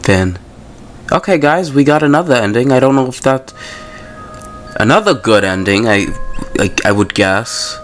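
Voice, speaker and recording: A man's voice: mid-range voice, lively intonation, normal speed, long pauses, fairly bright tone, soft background music. A man hosting a gaming video, casually commenting and reading story text aloud in an informal, slangy way.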